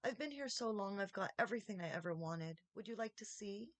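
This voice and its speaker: A woman's voice: mid-range voice, expressive, a little fast, no echo, close to an everyday voice. A woman voicing a friendly, candid young girl in a storybook, speaking invitingly. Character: friendly, candid young girl who treasures her pets. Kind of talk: storybook character reading.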